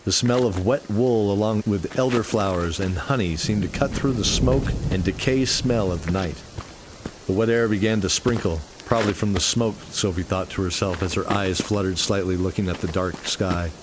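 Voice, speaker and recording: A man's voice: low voice, moderate pace, background noise. A man narrating a scripted fantasy radio play in an earnest, dramatic storytelling style.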